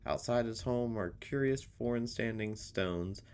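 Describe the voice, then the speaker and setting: A man's voice: mid-range voice, unhurried, a little mellow, smooth, natural voice. Man voicing a suspicious, folksy local drinker. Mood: grudging. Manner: guarded, folksy, needling. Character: suspicious, grudging local pub drinker, gossipy townsman. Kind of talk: visual novel character reading.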